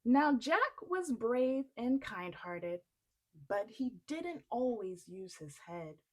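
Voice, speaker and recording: A woman reading a children's story aloud in an expressive storytelling style. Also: on the high side, takes it slowly.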